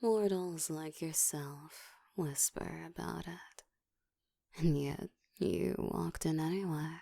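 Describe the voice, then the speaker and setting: A woman voicing a seductive, dominant ancient vampire in an intimate ASMR roleplay, teasing and commanding, often slipping into a soft whisper. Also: takes it slowly, no echo, clean studio sound, heavily acted. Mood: teasing, predatory. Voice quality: soft, breathy whispering mixed with low murmur.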